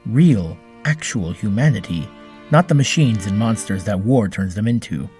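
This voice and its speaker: Audio drama android hero played by a man: earnest, formal, soft dark tone, faint music beneath. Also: fairly low pitch, expressive, moderate pace, natural voice. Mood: earnest, tender. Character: earnest, formal, gentle male android superhero.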